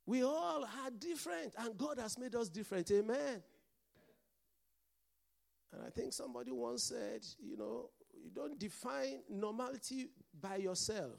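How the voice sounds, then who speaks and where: A man's voice: very high-pitched, creaky. A man with a mixed Nigerian and Scottish accent, preaching a sermon as pastor and calling out to the congregation for responses.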